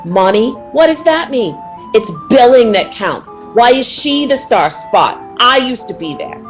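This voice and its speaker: A woman's voice: on the high side, lively intonation, unhurried, soft background music. A woman playing a resentful, hot-tempered circus cat tamer in a 1940s-style radio play, bitter and snappish.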